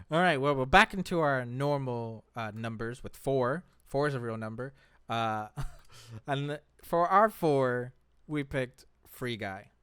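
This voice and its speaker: A man's voice: medium pitch, slow pace, fairly bright tone, smooth, no echo, studio quality. A man hosting a podcast in a casual, conversational style. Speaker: podcast host. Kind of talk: casual podcast discussion of movies.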